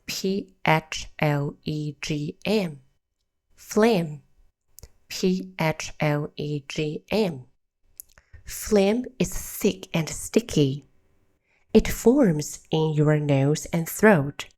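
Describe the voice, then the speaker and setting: A woman hosts a scripted English lesson in a slow, low voice, spelling out each word and repeating every practice phrase. Soft music plays quietly behind her. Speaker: English teacher, channel host.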